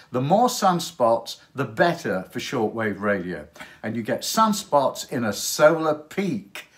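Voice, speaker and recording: An older man of about sixty presenting to camera in a chatty explainer style, asking rhetorical questions and making playful asides. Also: mid-range voice. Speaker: presenter of a hobby radio channel. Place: small attic radio room, indoors.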